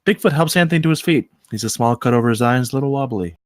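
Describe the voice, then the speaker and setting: A man's voice: medium pitch, expressive, quick pace, no echo, clean studio sound. A man reading stage directions as scripted narration at a comedy screenplay table read.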